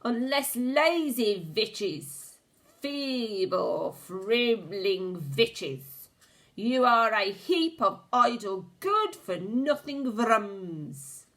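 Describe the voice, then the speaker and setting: A woman reading aloud gives voice to a screeching old witch queen, pitched far above a normal speaking voice and hammering out each word slowly and loudly. She rants and shrieks at her followers with savage contempt, swooping widely through her range. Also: lively intonation, full character voice. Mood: ranting furious. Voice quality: shrieking, screaming. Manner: slow, drawn-out, ranting commands. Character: shrieking, furious old female witch leader. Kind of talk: storybook reading.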